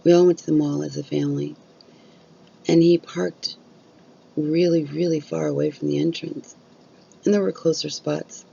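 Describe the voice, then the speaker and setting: A woman's voice: deep voice, fairly even intonation, moderate pace, vocal fry, long pauses, dark tone, lo-fi sound, a little hiss or hum. A woman vlogging candidly about chronic illness, blunt and open, swearing freely while losing her voice. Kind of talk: candid casual vlog talk.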